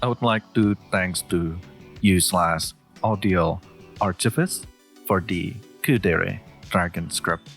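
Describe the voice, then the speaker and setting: Deep, gravelly male channel host. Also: takes it slowly, a bit bright, soft background music. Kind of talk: scripted channel outro addressed to listeners.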